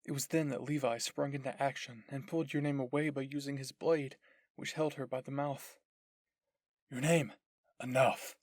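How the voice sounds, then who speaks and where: A narrator reads a dramatic scripted story. The pitch sits in the middle. The sound is dry and close, with hardly any room to it. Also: steady tone, fairly quick, darkish tone, no echo. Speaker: narrator and voice of all characters.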